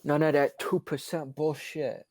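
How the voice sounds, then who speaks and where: A woman in loose, comedic banter. Her voice sits low with a rough, husky grain and moves around a lot, recorded very dry. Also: level tone, measured pace, darkish tone, no room sound. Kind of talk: casual comedic banter, riffing.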